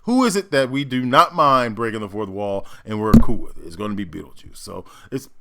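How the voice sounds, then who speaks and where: A man, an actor, giving casual reaction commentary and explaining stagecraft in an emphatic way, often halting as he thinks aloud. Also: fairly low pitch, expressive, heavy background noise.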